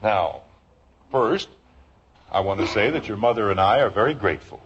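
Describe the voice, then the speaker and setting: A man's voice: on the low side, expressive, normal speed, slightly rough. An American actor playing an exasperated but affectionate middle-aged father in a 1950s radio sitcom. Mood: calm, kindly. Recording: muffled, hissy old radio recording.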